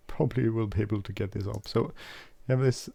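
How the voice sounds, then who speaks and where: Hesitant low gravelly-voiced man. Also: steady tone, fairly quick, mellow, no echo. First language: not English. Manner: hesitant, many fillers and self-corrections, chuckles. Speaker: flight sim video host. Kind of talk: casual gameplay walkthrough.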